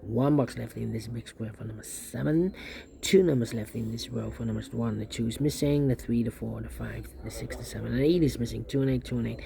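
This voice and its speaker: Mellow, gravelly man talking through a puzzle. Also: mid-range voice, brisk. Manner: repetitive, muttering phrases to himself. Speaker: puzzle instructor. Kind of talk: step-by-step sudoku tutorial, thinking aloud.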